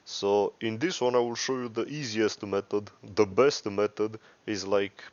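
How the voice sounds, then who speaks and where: Low-voiced Romanian man, casual tutorial. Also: steady tone, measured pace, no room sound. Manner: rambling, hesitant, self-correcting. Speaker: tutorial host. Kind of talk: casual unscripted software tutorial. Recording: dry, dull lo-fi microphone.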